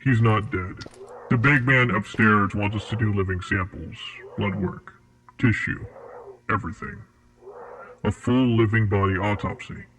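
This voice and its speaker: A man playing a cold, formal interrogator at a secret facility in a horror audio drama, with a husky, measured delivery. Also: fairly low pitch, big pitch swings, a bit bright, slight background noise, full character voice, poor audio. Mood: businesslike, pressured.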